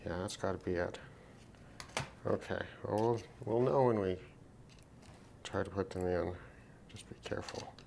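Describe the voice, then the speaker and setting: A man casually narrating a hands-on repair demonstration, thinking aloud in an unhurried way as he works. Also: deep voice, gravelly, darkish tone, slight background noise. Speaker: repair video host.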